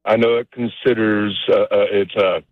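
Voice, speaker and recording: A man calling in to play a radio game, friendly and halting, with a few fillers. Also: lowish voice, steady tone, dry recording. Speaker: caller, game player. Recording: phone line.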